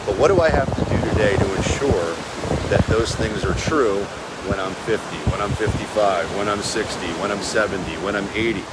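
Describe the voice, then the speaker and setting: A man's voice: lowish voice, moderate pace. Man talking on a windy beach. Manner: earnest, rambling with fillers. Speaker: real estate agent vlogger. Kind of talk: casual motivational talk. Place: beach at sunrise, outdoors. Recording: heavy wind and surf noise. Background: waves and wind.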